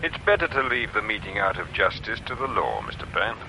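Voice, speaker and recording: An actor playing a grave, reserved police inspector in a radio drama, speaking hesitantly. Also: male voice, lowish voice, sing-song, a little fast, sharp tone, exaggerated voice. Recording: slightly hissy old radio recording.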